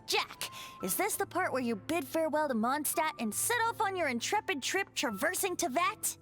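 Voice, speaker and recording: A woman's voice: sits high, lively intonation, normal speed, a bit bright, soft background music. A woman voicing a playful, boyish bard spirit, with a light, teasing, lilting way of talking.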